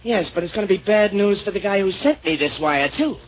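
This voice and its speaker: A jittery young man on the run in a radio crime serial. His voice is high, quick and stammering, on a dull old recording. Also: lively intonation, slight background noise. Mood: angry. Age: young adult. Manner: stammering, impatient. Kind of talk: old-time radio crime drama acting. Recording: muffled vintage radio recording.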